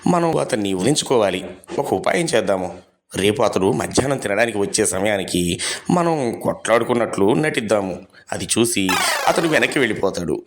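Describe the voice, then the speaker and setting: A man playing a mild, dutiful village husband in a moral storybook tale, speaking earnestly and deliberately. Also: lowish voice, lively intonation, slight background noise. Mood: scheming. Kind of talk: Telugu moral story voice acting.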